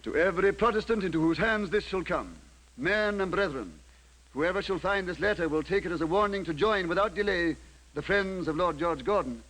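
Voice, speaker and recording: A man's voice: high-pitched, a little fast, a bit bright, rough recording. An actor playing an earnest, fanatical nobleman with a grandiose, solemn manner, in period radio drama style. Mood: fervent, solemn. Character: earnest, fanatical lord leading a crusade.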